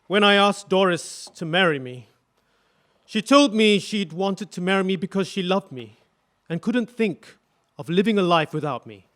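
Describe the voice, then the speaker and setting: A man acting a 1940s husband testifying, gentle and reflective about his wife. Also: sits high. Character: wistful grieving husband, 1940s. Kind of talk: radio drama acting.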